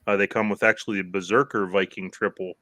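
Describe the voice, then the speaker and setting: Bright-voiced man, dry close sound. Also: fairly low pitch, quick pace, no echo. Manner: dry humor, relaxed, hesitant fillers. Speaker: guest from a parts company. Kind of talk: casual video-call chat.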